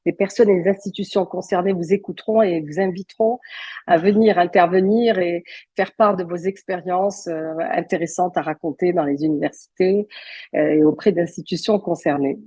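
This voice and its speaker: Female talk show host: low, mellow, slightly husky, formal and polite, dry sound. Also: normal speed, no room sound. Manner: courteous, formal questions with repetitions. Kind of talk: interviewing a guest.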